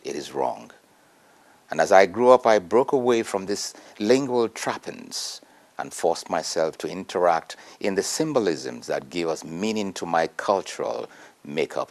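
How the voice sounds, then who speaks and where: A man reading a prepared essay aloud in a measured, earnest way. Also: low voice, very animated, vocal fry. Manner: measured, earnest oratory with emphatic rises.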